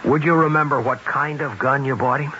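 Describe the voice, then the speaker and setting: An actor playing an uneasy, questioning police detective in a 1970s radio drama. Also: male voice, mid-range voice, fairly even intonation, brisk, sharp tone, natural voice. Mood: grave. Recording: muffled, noisy 1970s radio recording.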